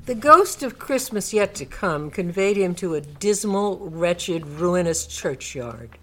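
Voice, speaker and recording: A woman narrating a classic story in a live radio reading, expressive and dramatic, lingering on descriptive words. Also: on the low side, takes it slowly.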